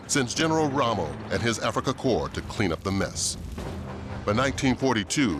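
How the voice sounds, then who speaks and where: A man playing a grave documentary narrator in a war game, reading history in an authoritative, measured way. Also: low voice, noisy background.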